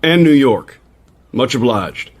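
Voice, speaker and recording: A man's voice: mid-range voice, lively intonation, slow, slight background noise. An actor in a radio drama playing a genial, easily flustered lawman. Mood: relieved, businesslike.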